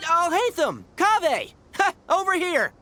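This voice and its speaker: A man's voice: extremely high pitch, huge pitch swings, unhurried, sharp tone, slight background noise, exaggerated voice. A man voicing a sensible, precise young scholar in a video game, matter-of-fact and explanatory. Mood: welcoming. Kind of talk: video game character acting.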